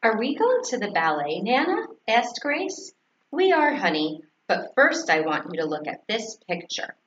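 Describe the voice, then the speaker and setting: A woman's voice: fairly high pitch, wildly swooping pitch, measured pace, a bit bright. A woman reading a children's story aloud, narration and dialogue, as a teacher. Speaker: fourth grade teacher. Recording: echoey, dull, faintly noisy recording.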